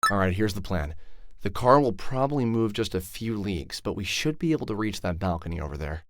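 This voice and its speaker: A man's voice: lowish voice, animated, fairly quick, no room sound. A man voicing a wry male protagonist in a game, talking to himself in a dry, sardonic way. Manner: dry, wry self-talk. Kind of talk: game dialogue.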